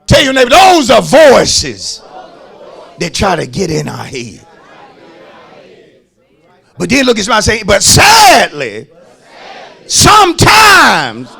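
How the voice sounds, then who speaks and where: A man's voice: high-pitched, wildly swooping pitch, takes it slowly, creaky, pauses often, sharp tone, husky, raised voice, some chatter behind. A man preaching as a pastor, emphatic, repeating phrases and calling on his listeners to respond. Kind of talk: sermon.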